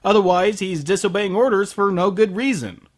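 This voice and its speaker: A man's voice: sits high, moderate pace. A man delivering a scripted comedic review as host, sarcastic, exasperated and prone to swearing. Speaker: host of an anime review show.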